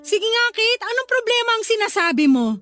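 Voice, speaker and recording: Haughty elder sister, very high voice. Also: female voice, big pitch swings, moderate pace, sharp tone, no echo, heavily acted. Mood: scolding. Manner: sneering, scolding. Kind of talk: Tagalog radio drama acting.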